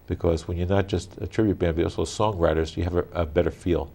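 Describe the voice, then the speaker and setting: Deep voiced interview host, lots of "you know", backs his guests up. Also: fairly even intonation, fast talker, gravelly, dark tone, breathy edge. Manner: supportive, rambling with fillers. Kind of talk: interview, many short acknowledgments.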